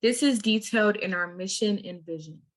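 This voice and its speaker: A teenage girl from Minnesota presenting as a youth leader, relaxed and a little halting when she speaks off script. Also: medium pitch, rough recording. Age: teens.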